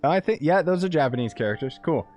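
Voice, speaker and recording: A man's voice: fairly high pitch, lively intonation, quick pace, darkish tone. Young man streaming a game, casual and slangy. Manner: slangy, joking, sarcastic. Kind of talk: casual live gameplay commentary. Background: faint game music.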